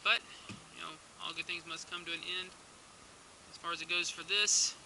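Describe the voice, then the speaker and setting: A man's voice: on the high side, moderate pace, vocal fry, a little hiss or hum, low-quality recording. Young man vlogging from a kayak. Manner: relaxed, rambling, many restarts and fillers. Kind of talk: casual outdoor vlog talk. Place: outdoors on a lake, in a kayak. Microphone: action camera mounted on the kayak.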